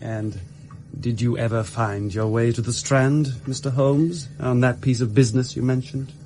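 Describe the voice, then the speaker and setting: A man acting a haughty gentleman crook in a radio drama. He is coolly polite and pompous, with a mid-pitched voice and faint noise behind him. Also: fairly even intonation, normal speed, close to an everyday voice. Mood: cool, composed. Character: haughty, captured gentleman criminal claiming royal blood.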